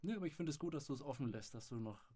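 A man's voice: medium pitch, lively intonation, very fast, mellow, slightly rough. Man hosting a podcast interview. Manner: friendly, laughs often. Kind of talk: casual interview questions.

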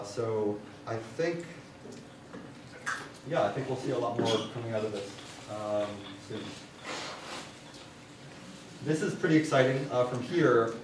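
A young man giving an informal student science presentation, with casual, wry asides. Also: mid-range voice. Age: young adult. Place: university lecture hall. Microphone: far camera. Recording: distant room mic, very echoey, clear noise.

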